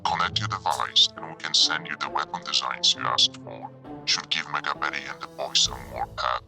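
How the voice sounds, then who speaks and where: An actor playing a secretive, businesslike handler who speaks in measured, cryptic lines in a sci-fi audio drama. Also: rumbling low, gravelly, over music. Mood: cool, businesslike.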